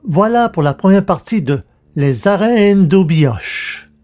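Higher-pitched man presenting a podcast. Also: animated, slow, darkish tone. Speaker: podcast host and producer. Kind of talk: scripted podcast announcements.

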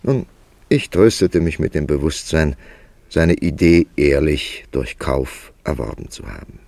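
An actor playing an urbane, sceptical male writer who narrates and questions in a measured, wry way in a radio play. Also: very deep voice, creaky, darkish tone, no echo, full character voice. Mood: wry, wondering.

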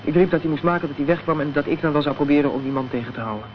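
An actor playing an injured, guarded young activist in a Dutch radio drama, speaking hesitantly and evasively. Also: male voice, a little high, rapid-fire, natural voice. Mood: subdued, explaining. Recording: dull, noisy old radio recording.

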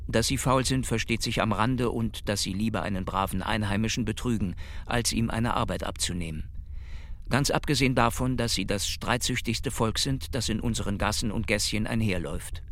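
An actor playing a self-righteous local man in a radio drama, declaiming formally in a measured, emphatic manner. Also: on the low side, a bit bright, lightly acted. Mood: righteous scorn.

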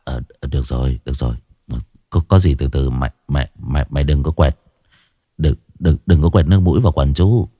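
A gruff investigator barks questions in a low, heavy voice, as a male storyteller plays him. He is blunt and coarse, with a dry, close sound. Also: a little fast, warm tone, dry recording. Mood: stern grilling. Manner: gruff interrogating, coarse-spoken. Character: stern male police investigator, blunt and commanding.

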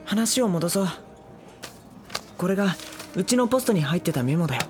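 A man playing a gentle, exasperated, self-deprecating high school boy in a Japanese anime audio drama. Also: very animated, normal speed, soft background music. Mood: wry, complaining.

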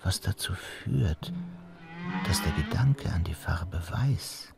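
A man's voice: deep voice, expressive, takes it slowly, gravelly, full character voice. A man playing an ominous, brooding narrator in a radio play, half-whispering portentous short phrases.

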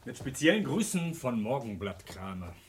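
An actor playing a conscientious junior police colleague, polite and somewhat hesitant, with a husky voice, in a German radio crime drama. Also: male voice, mid-range voice, sing-song, normal speed, noisy background, close to an everyday voice. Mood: deferential, doubtful.

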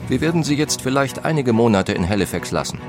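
An actor voicing a 19th-century businessman reading out his frank letters in an expressive, deliberate way for an audio documentary. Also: medium pitch, music in the background, close to an everyday voice. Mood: pragmatic.

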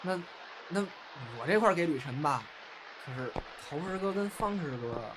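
Audio drama junior apprentice male actor: eager, cheeky, pouty, animated, with clear background noise. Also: on the high side, moderate pace, natural voice.

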